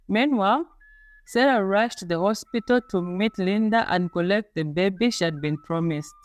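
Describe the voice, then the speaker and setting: A woman reading a scripted folktale as a storyteller, in a measured, dramatic storybook delivery. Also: mid-range voice. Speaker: storyteller, narrator. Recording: music playing under the voice. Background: background music.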